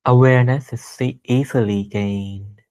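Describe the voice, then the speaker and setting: Very slow-paced man guiding meditation. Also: medium pitch, fairly even intonation, clean tone. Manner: gentle, deliberate, pausing between short phrases. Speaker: meditation teacher. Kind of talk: guided meditation instruction.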